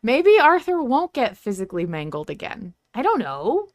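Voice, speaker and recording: A woman's voice: on the high side, huge pitch swings, normal speed, dry recording. Playful lady podcast host just chatting away, really into it, super dry recording. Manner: enthusiastic, playful. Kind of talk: casual podcast chat.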